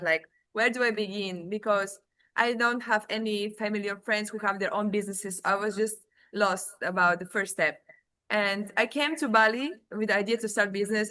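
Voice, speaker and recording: A woman's voice: mid-range voice, bright, forceful tone. A woman in her twenties from Bulgaria, giving an informal testimonial on a webinar. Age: 20s. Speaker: academy participant giving a testimonial.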